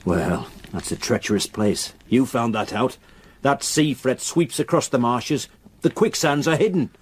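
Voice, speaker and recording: An actor playing a grave, reluctant local countryman in a radio drama, with a solemn, halting way of telling a dark story. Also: medium pitch, lively intonation, breathy edge. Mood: sombre recounting.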